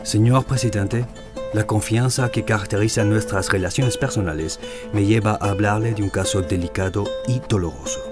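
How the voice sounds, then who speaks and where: An actor playing a courteous, persuasive French president in an audio drama, speaking formally and with measured care. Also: male voice, fairly low pitch, close to an everyday voice.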